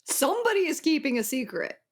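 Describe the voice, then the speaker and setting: Woman co-host, voice a bit high, chatty casual book talk, says like a lot. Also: sing-song, brisk. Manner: chatty, loosely strung retelling with frequent "like". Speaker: podcast co-host.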